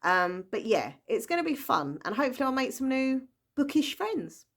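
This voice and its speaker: A woman's voice: high-pitched, sing-song, moderate pace. A woman, a book vlogger talking in a casual, rambling and enthusiastic way. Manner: rambling, enthusiastic, full of fillers and small laughs. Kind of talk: casual chatty book talk.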